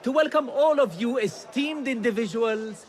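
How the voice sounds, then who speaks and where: An actor playing a showy, effusive auctioneer in a video game, with grandiose, florid salesmanship over crowd murmur. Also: male voice, very high voice, normal speed. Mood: showy, welcoming. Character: showy, effusive male auctioneer welcoming wealthy bidders. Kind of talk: theatrical game-character performance.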